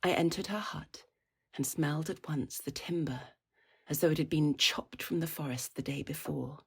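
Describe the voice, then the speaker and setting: A woman playing a reflective older storyteller in an audio drama, narrating her past in measured, old-fashioned language. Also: low voice, creaky, slightly rough, full character voice. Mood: wistful, reflective. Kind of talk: literary first-person narration.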